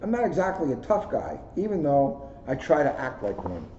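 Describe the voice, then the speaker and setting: A man reads a story aloud in a mellow, relaxed voice pitched a little high. The room is echoey and the recording is dull, with noise behind him. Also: moderate pace. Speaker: police officer reading a children's book aloud. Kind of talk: read-aloud storytelling with casual asides. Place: indoors, second floor of a borough hall. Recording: roomy echo, dull lo-fi sound, clear noise.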